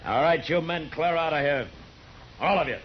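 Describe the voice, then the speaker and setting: An American actor playing a stern, steady frontier marshal in a 1950s radio Western, commanding and terse. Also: male voice, fairly high pitch, normal speed, a little hiss or hum, natural voice. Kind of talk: 1950s radio drama acting. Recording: dull vintage 1950s radio recording.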